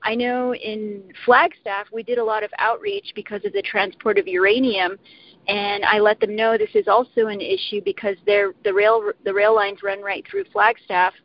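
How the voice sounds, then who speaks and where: A woman speaking earnestly as a panelist on a telebriefing, pausing and restarting often. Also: fairly high pitch, very animated, creaky. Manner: earnest, halting with frequent restarts. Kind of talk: speaking on a conference call. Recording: muffled phone-line audio.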